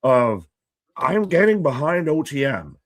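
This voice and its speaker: A man's voice: fairly high pitch, measured pace, a little hiss or hum. A man co-hosting a live wrestling watch-along stream, cracking jokes and swearing freely. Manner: jokey, excitable, swears freely. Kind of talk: casual live-stream commentary.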